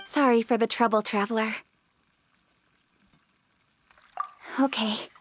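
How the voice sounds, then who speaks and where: Poised, higher-voiced young noblewoman, acted by a woman. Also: steady tone, a little fast, slight background noise, close to an everyday voice. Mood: polite. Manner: formal, composed, precise. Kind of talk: video game acting. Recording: background music under the voice.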